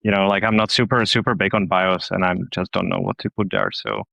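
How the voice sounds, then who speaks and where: A man's voice: deep voice, sing-song, brisk, gravelly, pushed and bright, no room sound, lo-fi sound. A man in his thirties from the Czech Republic with a Czech accent, a podcast guest talking casually with many restarts and fillers. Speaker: podcast guest, newsletter publisher. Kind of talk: casual interview chat.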